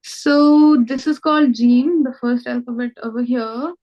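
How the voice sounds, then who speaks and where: A high-voiced Indian woman teaches a language over a slightly muffled video call. She speaks in Indian English and patiently repeats each word slowly so her student can catch it. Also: fairly even intonation. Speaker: language tutor. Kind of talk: online language lesson.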